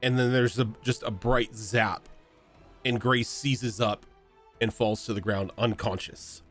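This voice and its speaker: A man's voice: mid-range voice, steady tone, measured pace, a bit bright, faint music behind. A man running a tabletop game as game master, narrating with many fillers and restarts over an online call. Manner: hesitant, full of fillers and restarts.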